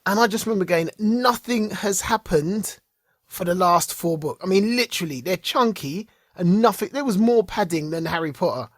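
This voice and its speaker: A man with a high, slightly husky voice co-hosts a podcast, talking in an excitable, rambling way and laughing a lot. The sound is close and dry, with no room around it. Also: moderate pace, dry recording. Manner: excitable, laughs often, repeats words. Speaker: podcast co-host. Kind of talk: casual chat.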